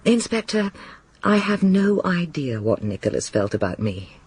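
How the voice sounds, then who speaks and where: A middle-aged academic in a radio play, self-possessed and tart. Her voice is low for a woman and husky, her manner cool and direct. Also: sing-song, moderate pace, darkish tone, full character voice. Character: poised, tart female academic, mother of a teenager. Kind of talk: radio drama acting.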